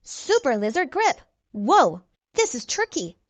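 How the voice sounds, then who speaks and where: A woman voicing an excitable, eager young boy superhero in a storybook, full of exclamations. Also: high-pitched, unhurried, dry recording, heavily acted.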